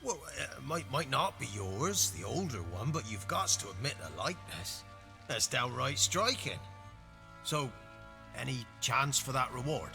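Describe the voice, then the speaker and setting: An actor playing a hesitant, wheedling underling angling for a reward in a video game. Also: male voice, mid-range voice, very animated, a little slow, gravelly, over music. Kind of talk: video game character acting.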